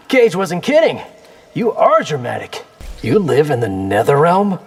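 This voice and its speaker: A young fighter in a video game who is cocky and defiant. His voice sits a little high and moves expressively, with casual, wry phrasing over faint music. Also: sing-song, slow pace, breathy edge, exaggerated voice. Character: cocky, determined young male Earth warrior. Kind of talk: video game character dialogue.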